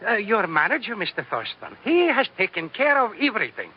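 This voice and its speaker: An actor playing an obliging, fussy Puerto Rican hotel clerk with a Spanish accent, polite, in 1940s radio style. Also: male voice, high voice, wildly swooping pitch, fairly quick. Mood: obliging, businesslike. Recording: muffled, noisy old radio recording.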